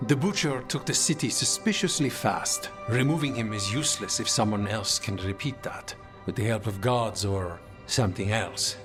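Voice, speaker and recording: A man voicing a composed, calculating assassin leader in a video game, with a measured, formal delivery. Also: lowish voice, music in the background, natural voice. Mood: measured, resolute.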